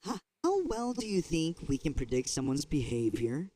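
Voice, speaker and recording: A man's voice: on the high side, very animated, normal speed, dark tone. A man acting as a skeptical scientist in an audio drama, questioning and challenging in a conversational way. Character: skeptical, probing scientist colleague.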